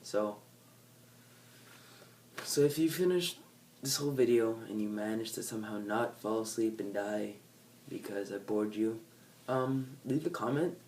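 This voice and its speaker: A man's voice: fairly low pitch, unhurried, darkish tone, distant mic, slight background noise. A teenage guy from California with a nasal voice, chatting to camera in a casual, unplanned, rambling way that keeps correcting itself. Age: teens. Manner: rambling, self-correcting, laid-back. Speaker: vlogger. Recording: faint background ambience, set back from the mic.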